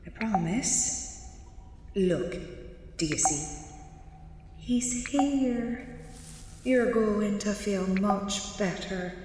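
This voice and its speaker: Slow, ominous nun in deep echo. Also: female voice. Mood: hushed, soothing. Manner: measured, deliberate, cryptic. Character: stern, ominous convent nun, calm and devout. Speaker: actor playing a nun. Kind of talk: horror audio drama acting. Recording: very echoey stone-like hall, loud background noise.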